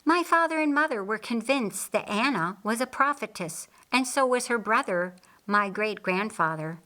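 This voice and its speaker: A woman voicing a kindly, reflective master of a house in an audiobook story, earnest and deliberate. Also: on the high side, expressive, sharp tone, rough recording. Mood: earnest, recounting.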